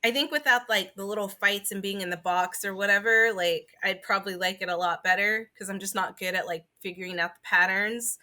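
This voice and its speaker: A woman's voice: medium pitch, fairly even intonation, a little fast, few pauses, sharp tone. A woman podcast co-host in casual chat about games, informal and sweary, peppering her speech with "like".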